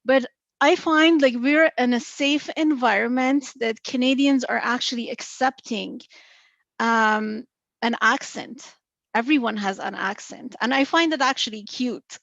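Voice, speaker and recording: Woman, noticeable accent, finance panellist, earnest explainer, fairly high voice, dull dry video-call audio. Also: measured pace, gravelly, a bit bright, no echo. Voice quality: noticeable non-native accent. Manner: earnest, explains with examples and many fillers. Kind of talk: virtual panel discussion.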